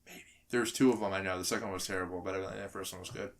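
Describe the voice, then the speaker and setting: A man on a podcast panel giving his opinions casually, in a halting way that repeats words as he thinks. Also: low voice, steady tone, a little hiss or hum.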